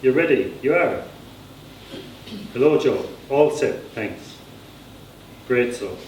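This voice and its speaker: A man with an Irish accent reading his own literary fiction aloud as a scripted narration. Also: medium pitch. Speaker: author reading own novel extract. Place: indoor reading at a society gathering. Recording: echoey room, distant mic, faint noise.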